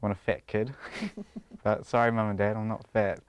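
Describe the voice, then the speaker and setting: A man's voice: lowish voice, sing-song, slow pace, clear, resonant tone, dry recording. A young man with a New Zealand accent, chatting casually in a TV interview and laughing often between his thoughts.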